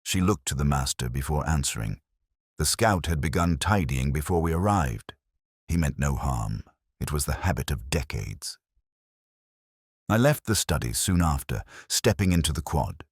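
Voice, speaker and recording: A man narrating a scripted first-person detective story in a measured, literary, reflective manner. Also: rumbling low, expressive, husky, no echo, clean studio sound.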